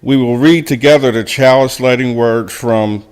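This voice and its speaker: A man co-leading a church service, reading the call to worship and chalice lighting in a measured, solemn way. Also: medium pitch, a little mellow, clear, resonant tone. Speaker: service co-leader. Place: church sanctuary.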